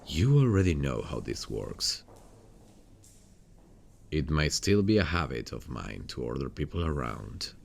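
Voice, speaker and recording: A man playing a weary, haunted detective in an intimate ASMR roleplay, speaking to a partner softly and without hurry. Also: rumbling low, very animated, measured pace, vocal fry, long pauses, slight background noise, heavily acted. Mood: apologetic, friendly. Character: weary, haunted male detective hunting a serial killer.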